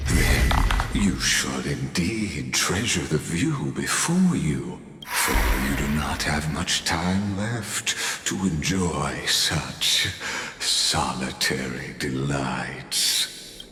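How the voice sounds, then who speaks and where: An actor playing a grandiose video game villain with menacing courtesy, speaking in ornate, formal, mock-polite phrases. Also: male voice, rumbling low, very animated, drawn-out words, music in the background, full character voice. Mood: ominously courteous. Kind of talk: scripted video game dialogue. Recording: cavernous reverb, clear noisy ambience.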